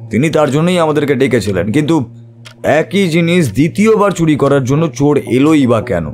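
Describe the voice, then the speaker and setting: An actor playing a shrewd, composed male private detective in an audio drama, measured, analytical and authoritative. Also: a little high, a little mellow, close to an everyday voice. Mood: thoughtful.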